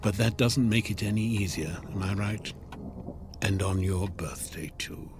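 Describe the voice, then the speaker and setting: A man's voice: on the low side, measured pace, over music. An actor playing a sly, devilish door-to-door salesman in a radio drama, with glib, coaxing, theatrical sales patter. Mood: smug, goading. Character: sly devilish door-to-door salesman peddling a time-bending clock. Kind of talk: modern radio drama acting.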